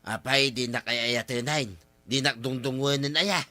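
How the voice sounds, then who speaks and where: A man's voice: mid-range voice, steady tone, normal speed, bright, forceful tone. An actor with an Ilocano accent playing a flirtatious, hapless married village man in an audio drama. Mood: sheepish, pleading. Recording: music under the voice.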